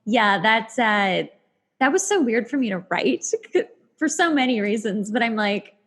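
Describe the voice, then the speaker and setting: A woman's voice: on the high side, animated, a little slow, a bit bright. A woman in her thirties hosting a casual podcast chat, giggly and effusive in the way she talks. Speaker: podcast host.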